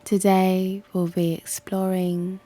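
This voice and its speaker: A woman guiding a meditation in a soothing, hushed, gently encouraging way, her soft breathy voice often close to a whisper. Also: mid-range voice, level tone, slow, slight background noise. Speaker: yoga nidra guide and channel host. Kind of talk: guided meditation. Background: soft music.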